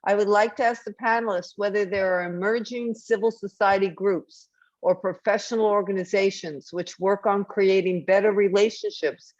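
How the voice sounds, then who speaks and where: A woman's voice: medium pitch, dry recording, dull audio. A woman moderating a panel in an informal, conversational way.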